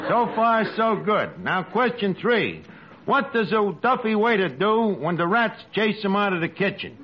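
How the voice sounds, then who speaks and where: A brash tavern manager from a 1940s radio comedy, high-pitched and very animated. He wisecracks in streetwise slang with mock grandeur, on a muffled old recording. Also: measured pace. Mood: bossy. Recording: muffled old radio, noisy, loud studio audience.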